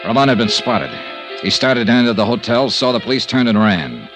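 An actor playing a tough, dogged American private investigator in a clipped 1950s radio detective style. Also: male voice, mid-range voice, very animated, a little fast, close to an everyday voice. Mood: tense. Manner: clipped, terse, matter-of-fact. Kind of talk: 1950s radio detective acting with first-person narration. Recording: 1950s radio drama with music behind.

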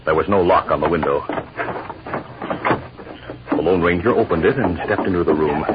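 A man narrating a 1950s western radio drama in a dramatic, deliberate manner. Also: sits low, heavy background noise. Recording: dull vintage radio recording with music.

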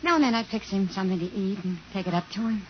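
A woman's voice: medium pitch, expressive, racing, natural voice. A woman playing a jaded, worldly restaurant hostess in a 1950s radio drama, wry and matter-of-fact. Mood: wry, wistful. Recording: dull, faintly noisy 1950s radio recording.

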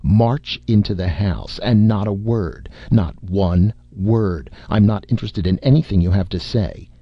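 A man reading aloud, voicing a stern industrialist who speaks in a brisk, commanding way, in a slightly muffled dry recording. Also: lowish voice, no echo. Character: stern, flustered male industrialist.

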